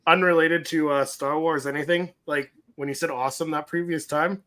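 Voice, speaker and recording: A man's voice: a little high, steady tone, normal speed, bright, forceful tone. A Canadian man co-hosting a casual podcast, joking and teasing the others. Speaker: podcast co-host.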